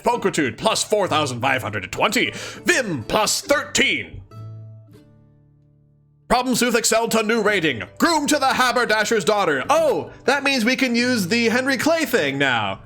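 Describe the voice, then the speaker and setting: Animated, expressive man reading aloud. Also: high-pitched, moderate pace, faint music behind. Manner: giggly, expressive, dramatic reading mixed with chatty asides. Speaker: host of a live let's-read of a webcomic. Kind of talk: animated read-aloud with casual commentary.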